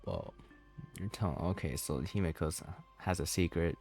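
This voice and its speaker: Very deep-voiced man, casual gaming commentary. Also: moderate pace, darkish tone, soft background music. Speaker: gaming video creator.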